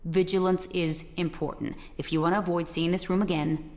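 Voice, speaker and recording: Woman acting icy condescending interrogator lady from a spy game, lowish gravelly voice, big echoey hall. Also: fairly even intonation, a little mellow, close to an everyday voice. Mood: quietly menacing. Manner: clipped, cold, deliberate statements. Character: cold, condescending female secret-society interrogator. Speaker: interrogator and evaluator for a secret organisation. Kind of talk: scripted video game dialogue. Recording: long reverberant hall echo.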